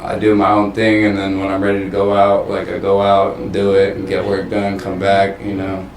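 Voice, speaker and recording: A man in his twenties from Southern California, chatting casually as an interview guest in a relaxed, slangy way with a lot of swearing. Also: sits low, brisk. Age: 20s. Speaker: rapper guest. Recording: echoey room, mic at a distance, faint hiss.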